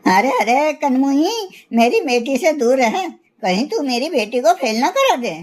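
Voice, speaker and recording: A woman's voice: high voice, big pitch swings, unhurried, darkish tone, exaggerated voice. A woman voicing an older, domineering mother-in-law bird in a cartoon story, with a melodramatic, scolding manner. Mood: angry, scolding. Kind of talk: animated moral story acting.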